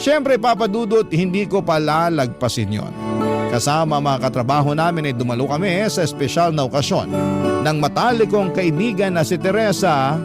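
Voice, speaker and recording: A man's voice: fairly high pitch, expressive, normal speed. A man with a Filipino accent reading a scripted first-person story as a radio narrator. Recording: loud guitar music under the voice.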